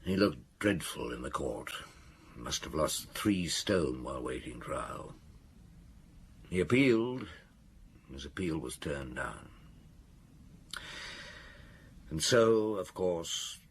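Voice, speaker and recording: A middle-aged actor playing a weary, reflective chief inspector in a radio drama, speaking in a measured, ruminative way. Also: male voice, rumbling low, expressive, slight background noise, heavily acted. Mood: troubled recounting. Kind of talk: radio drama dialogue and recollection.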